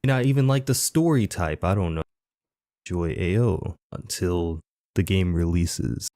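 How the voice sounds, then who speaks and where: Man, gaming video narration, monotone, very deep voice. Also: measured pace, no echo, clean studio sound. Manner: monotonous, flat.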